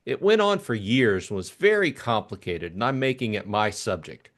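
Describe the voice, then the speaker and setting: A man's voice: on the low side, sing-song, moderate pace. Man voicing an eager, lilting young English toff. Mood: triumphant, eager. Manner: chummy, exclamatory, slangy. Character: eager, breezy young upper-class gentleman amateur sleuth.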